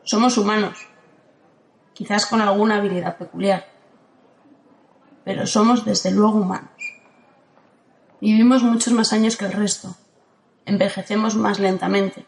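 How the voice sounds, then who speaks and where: A woman from playing a calm, ageless guardian who speaks in a steady, firm way in an audio drama. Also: medium pitch, vocal fry, slight background noise. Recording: roomy echo.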